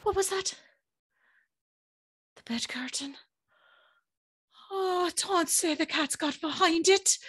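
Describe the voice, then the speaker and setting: A woman's voice: extremely high pitch, heavily acted. A woman playing an anxious, spirited young servant girl who tells her tale expressively in a gothic audio drama. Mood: exasperated, fearful. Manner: expressive, breathy, nervous.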